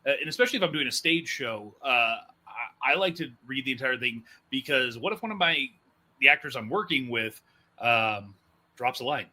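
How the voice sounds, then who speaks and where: A man hosts a casual interview with a very bright, sharp-edged voice. His pitch is average and the talk is conversational. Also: lively intonation, normal speed. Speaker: host, voice actor and producer.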